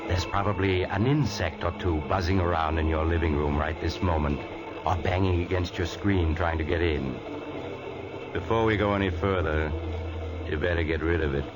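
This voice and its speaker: An actor playing a sinister male storyteller who introduces the tale, in a 1940s radio drama with a deep, measured voice. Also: natural voice. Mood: insinuating. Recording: muffled old radio broadcast with music.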